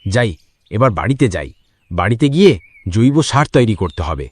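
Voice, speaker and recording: A man's voice: lowish voice, big pitch swings, moderate pace, darkish tone, dry recording. A man voicing a poor, troubled village farmer in a dramatic cartoon style. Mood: businesslike. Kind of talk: Bengali cartoon voice acting.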